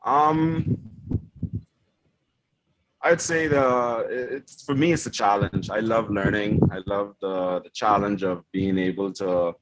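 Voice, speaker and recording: A man from the Bahamas with a very deep voice, whose first language is German, talks loosely about his work as a yacht engineer. He pads his answers with ums and you-knows. The video call line carries a clear hiss of noise. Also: slow pace, rough recording. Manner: relaxed, rambling with many ums and you-knows. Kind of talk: casual interview answers.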